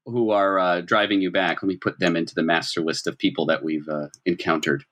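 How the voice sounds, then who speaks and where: Bright-voiced man running a tabletop game. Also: low voice, little pitch movement, a little fast. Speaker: game master. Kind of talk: improvised tabletop game narration and casual chat.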